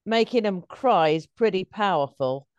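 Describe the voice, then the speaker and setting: A woman's voice: mid-range voice, animated, measured pace, clean tone, no echo, lo-fi sound. A middle-aged woman, a stage performer telling anecdotes as an interview guest.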